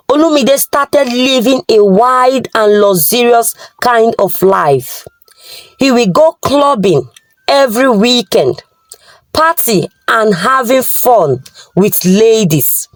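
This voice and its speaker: A Nigerian-accented woman telling a moral folktale in short, deliberate phrases. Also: on the high side, no room sound, poor audio. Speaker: storyteller.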